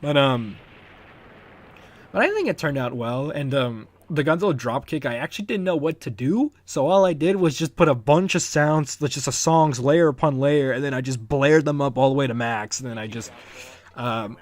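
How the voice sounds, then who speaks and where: A man's voice: medium pitch, moderate pace, no room sound. A young man giving casual, rambling and enthusiastic behind-the-scenes commentary as the creator of a fan dub. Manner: rambling, enthusiastic, chuckles often. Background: music under the voice.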